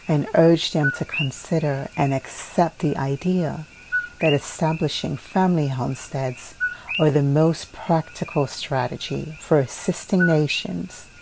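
Woman, low voice, solemn measured read of a declaration; noisy home recording. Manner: solemn, measured reading with occasional slips and restarts. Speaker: narrator reading a declaration. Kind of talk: earnest read-aloud declaration.

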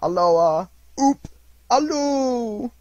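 A man's voice: extremely high pitch, lively intonation, extremely slow, a little mellow, no echo, highs cut off, voice pushed up, exaggerated voice. A man voicing an old miser who exclaims between laughing and crying, in a dry recording. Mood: ecstatic.

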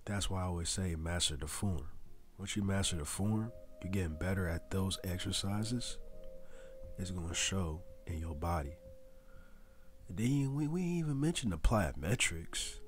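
Deep-voiced man giving casual fitness pep talk. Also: expressive, normal speed, soft background music. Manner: casual slangy coaching, addresses viewers directly. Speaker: fitness vlogger. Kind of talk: motivational voice-over.

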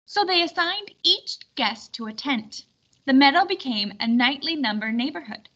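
A woman reading a children's storybook aloud in an expressive, clearly instructional way. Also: high voice, lo-fi sound. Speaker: storybook reader.

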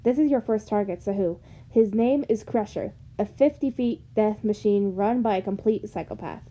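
A woman's voice: mid-range voice, moderate pace, warm tone, highs cut off, lightly acted. A woman voicing an eager male inventor, insistent and grandiose, in an amateur comic dub. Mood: scheming. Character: eager, commanding male inventor, robot's creator.

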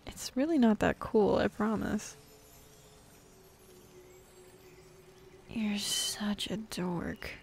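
A woman playing a bossy, jaded female demon in an ASMR roleplay, speaking softly and often half-whispering, with dismissive sighs. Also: medium pitch, slow pace, a little hiss or hum. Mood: dismissive, amused.

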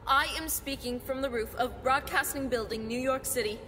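Radio reporter, played by a woman, high-pitched, grave, formal, long reverb, radio drama. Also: fairly even intonation, normal speed, pushed and bright, slight background noise. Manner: formal announcing. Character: grave radio reporter broadcasting during evacuation. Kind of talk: radio play acting. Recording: long reverb, music or bells behind.

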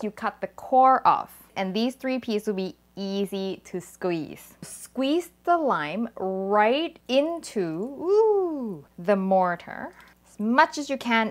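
A woman in her thirties from Thailand hosts a cooking show, chatting warmly to viewers as she pounds a salad in a home kitchen. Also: on the high side. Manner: chatty and upbeat, talks to viewers while pounding in a mortar. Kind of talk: cooking demonstration.

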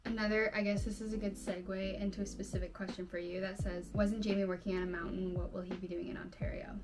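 A woman's voice: mid-range voice, level tone, fast talker, music in the background. A woman in her late twenties hosting her own channel, chatting casually at home and reading out viewer questions from a fixed camera in a bedroom. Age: 20s. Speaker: channel host. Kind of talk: casual chat.

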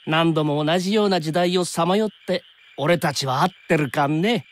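An actor playing an easygoing young time traveler in a Japanese anime game, chatting in casual slang with an undercurrent of worry. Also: male voice, a little high, moderate pace, lightly acted. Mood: puzzled, teasing.

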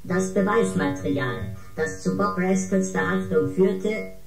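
A woman's voice: medium pitch, lively intonation, measured pace, vocal fry. A woman voicing an impassive ship computer in a science-fiction radio drama, formal and detached. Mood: matter-of-fact. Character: impassive female ship computer, coolly official. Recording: reverberant, music underneath.

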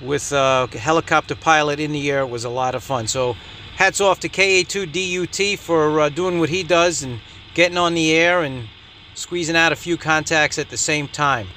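A man's voice: on the high side, steady tone, normal speed, sharp tone, a little hiss or hum. A man hosting an amateur radio video channel, narrating in an upbeat hobby vlog style.

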